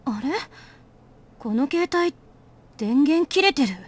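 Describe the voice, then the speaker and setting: A woman voicing a stern, sharp-witted young genius in a visual novel, cool and probing. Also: high-pitched, expressive, moderate pace. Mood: cool, suspicious. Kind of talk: Japanese visual novel voice acting. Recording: game music under the voice.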